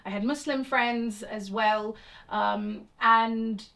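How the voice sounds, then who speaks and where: A woman's voice: on the high side, fairly even intonation, slow, fairly bright tone. A woman from India interviewing a playwright, asking long reflective questions with some fillers.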